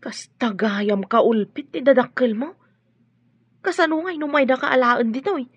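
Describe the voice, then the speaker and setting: Naraspa, natinis a katulong a managusisa. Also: female voice, sits high, moderate pace, husky, no echo, highs cut off. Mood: curious, concerned. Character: nosy, chatty household helper, an older auntie. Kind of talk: Ilocano radio drama acting.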